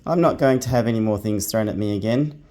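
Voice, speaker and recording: A man's voice: lowish voice, fast, dark tone, close to an everyday voice. A man voicing an upset, sulky little girl in a storybook reading, crying out and complaining.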